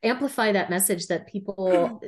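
A woman's voice: mid-range voice, normal speed. A woman in her thirties, a runner and podcast guest, telling her story frankly in a casual conversational way over a remote call. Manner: candid, frank, laughs easily.

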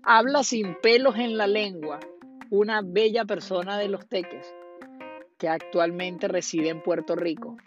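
A man's voice: high voice, unhurried, fairly bright tone, dull audio. Upbeat male podcast host over music. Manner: upbeat, repeats phrases, Spanglish fillers. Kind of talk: podcast intro and interview.

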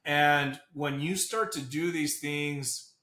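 An earnest man hosts a casual podcast chat, often checking in with "right?" and calling his guest "bro". His voice is bright and forceful. The recording has a noticeable roomy echo. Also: fairly high pitch. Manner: earnest, fast, says "bro" and "right?" a lot. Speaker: podcast host.

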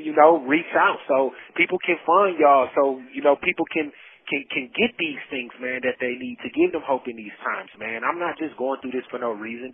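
A man giving heartfelt, faith-filled personal testimony in casual vernacular over a prison phone call, repeating himself for emphasis. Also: a little high. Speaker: incarcerated storyteller. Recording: muffled, band-limited prison phone call.

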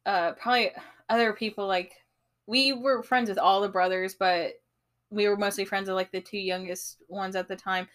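A young woman telling a friend about a past night out. She rambles, stops to restart, fills gaps with fillers and laughs easily. The pitch sits in a middle range. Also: steady tone, normal speed, a bit bright. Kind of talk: casual chat.

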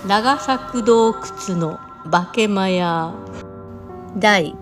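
A woman's voice: medium pitch, drawn-out words. A woman reading scripted introductions and credits as the announcer of a radio drama programme. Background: loud piano music.

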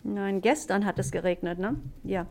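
A woman from Germany, a German teacher hosting a classroom podcast, asking simple questions slowly with many fillers. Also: mid-range voice, fairly even intonation, darkish tone.

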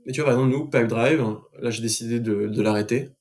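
A man's voice: medium pitch, fast, darkish tone. A man, a podcast guest, explaining things in casual conversation. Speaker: podcast guest, business manager.

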